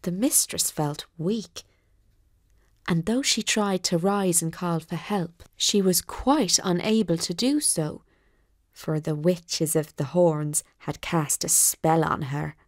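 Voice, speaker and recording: A woman narrating a scripted bedtime story with measured, deliberate, dramatic phrasing. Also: lowish voice, no echo, clean studio sound.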